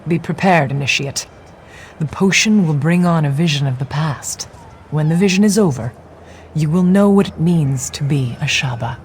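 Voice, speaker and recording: Man playing a higher-voiced, solemn ritual attendant. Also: normal speed, slight background noise, close to an everyday voice. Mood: grave, instructive. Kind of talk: video game character acting.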